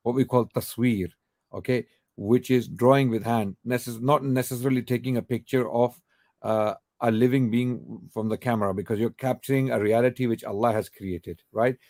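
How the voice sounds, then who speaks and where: A man's voice: mid-range voice, fairly even intonation, moderate pace, a little mellow, no room sound, studio quality. A man hosting a live call-in question and answer show, who answers emphatically and repeats his key points. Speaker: host answering callers' questions.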